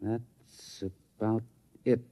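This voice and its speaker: A man's voice: on the low side, level tone, extremely slow, gravelly, mellow, no room sound, heavily acted. An American man acting in a 1950s radio drama as a level-headed scientist who explains with emphasis. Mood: measured. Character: level-headed male scientist friend, intrigued expert.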